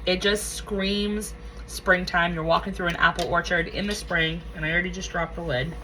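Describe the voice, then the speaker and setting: A woman's voice: on the low side, fairly even intonation, normal speed, sharp tone, a little hiss or hum, low-quality recording. A woman recovering from a cold, reviewing wax scents in a casual vlog, gushing and repeating herself. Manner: gushing, enthusiastic, repeats phrases.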